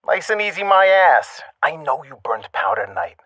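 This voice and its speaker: Husky, surly underworld tough. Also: male voice, a little high, very animated, moderate pace, pushed and bright, no room sound, full character voice. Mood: needling. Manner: gruff, confrontational. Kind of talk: video game voice acting.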